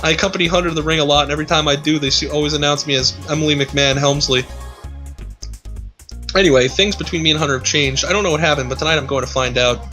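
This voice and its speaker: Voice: medium pitch, fairly even intonation, quick pace, bright, forceful tone, soft background music. A streamer reading fan fiction aloud as a comic dramatic reading, amused and breaking off to react with casual asides.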